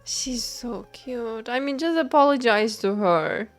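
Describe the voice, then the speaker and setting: A woman streamer chats casually while commenting on a game. She is not a native English speaker and talks at a slow pace, playful and expressive, with soft music in the background. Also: on the high side. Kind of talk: casual live game commentary, chatting with viewers.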